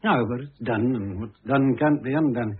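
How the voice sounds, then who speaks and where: Radio play: middle-aged husband , male actor, pompous complainer pitying himself, husky, muffled lo-fi sound. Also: medium pitch, moderate pace, lightly acted. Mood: complacent. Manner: pompous, complaining, long-suffering. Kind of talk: radio drama acting. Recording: muffled lo-fi old radio recording.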